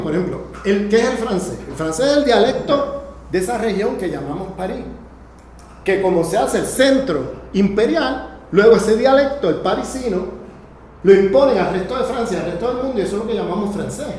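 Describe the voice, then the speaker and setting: A man's voice: sits high, expressive, normal speed, a little mellow. A man giving an improvised academic lecture, emphatic and didactic, checking in with short tag questions. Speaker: lecturer teaching a class. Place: indoor lecture room. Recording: very echoey room, faint noise.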